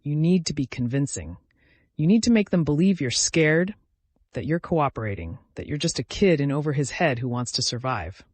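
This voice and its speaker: A man's voice: fairly high pitch, sing-song, moderate pace, no room sound. A man voicing a professional, direct woman prosecutor with controlled tension in a dramatic audio story. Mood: steady, urgent.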